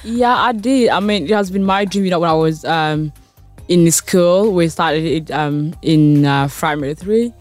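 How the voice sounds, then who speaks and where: Soft-spoken young woman, hesitant. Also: lowish voice, unhurried, faint music behind. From South Sudan, raised in Australia. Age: 20s. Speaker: model and guest. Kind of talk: casual interview chat.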